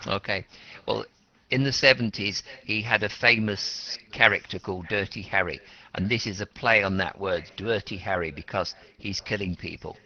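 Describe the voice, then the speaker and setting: A man's voice: low-pitched, pushed and bright. A man teaching an English class, questioning students and explaining words simply with repetition and rephrasing. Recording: dull, lo-fi classroom recording.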